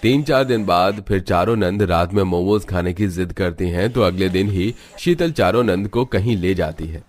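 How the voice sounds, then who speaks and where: Low-pitched man narrating a cartoon story. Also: moderate pace, few pauses.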